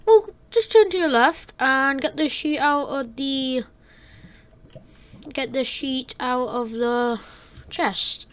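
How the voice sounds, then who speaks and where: A woman's voice: high-pitched, takes it slowly. A woman voicing a friendly male boss giving a new hire cheerful, bossy instructions. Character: friendly male workplace boss hiring a guard.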